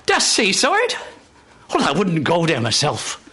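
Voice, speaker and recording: A genial, rambling Irish farmer in a radio play. His voice is high and bright and swoops about wildly as he chats and teases in folksy fashion. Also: wildly swooping pitch, measured pace, exaggerated voice. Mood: chatty, teasing. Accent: stage Irish. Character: chatty genial country farmer on a horse cart. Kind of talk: live radio theatre. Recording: hissy live radio studio recording.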